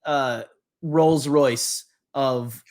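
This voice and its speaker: A man co-hosting a podcast, chatting casually in a loose, halting and joking way with rambling anecdotes. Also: mid-range voice, fairly even intonation, darkish tone. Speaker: podcast co-host.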